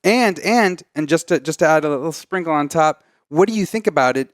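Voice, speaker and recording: A man's voice: fairly high pitch, lively intonation, fairly quick, no room sound, studio quality. A man co-hosting a video game show, chatting casually about a film in a jokey, emphatic way and often repeating himself. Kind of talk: casual film review chat.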